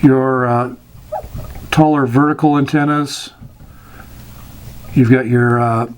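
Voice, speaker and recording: A man giving an informal explanatory lesson as an instructor, deliberate and halting, with long pauses. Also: medium pitch, steady tone, a little hiss or hum, rough recording.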